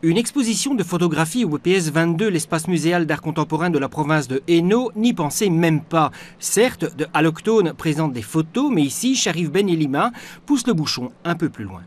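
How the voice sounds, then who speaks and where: A man's voice: fairly high pitch, animated, normal speed. A man reading scripted voice-over narration as a reporter.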